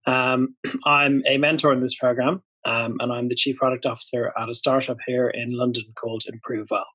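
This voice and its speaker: Guy from ireland doing a relaxed intro on a video call, mid pitch. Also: normal speed, poor audio. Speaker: chief product officer. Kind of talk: self-introduction.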